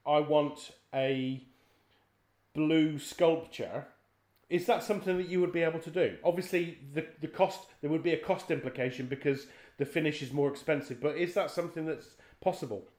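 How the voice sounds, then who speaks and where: A man's voice: fairly high pitch, normal speed, a little mellow. A middle-aged man interviewing someone in a conversational style. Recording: echoey room sound.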